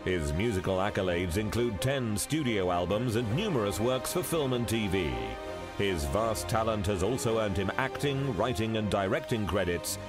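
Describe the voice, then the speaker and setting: A man reading a formal, scripted award introduction in a measured voice-over. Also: fairly low pitch. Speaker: award announcer. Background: music bed.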